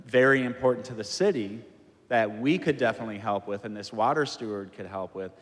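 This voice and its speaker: A man on a city council, explaining a proposal at a public meeting in a measured, explanatory way. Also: fairly low pitch. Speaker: council member. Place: council chamber. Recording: long reverb of a council chamber.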